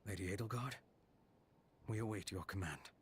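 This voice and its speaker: An actor playing a stern, merciless man of war in a video game, speaking in a formal, measured, menacing way. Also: on the low side, a little hiss or hum, lightly acted. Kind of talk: dramatic video game acting.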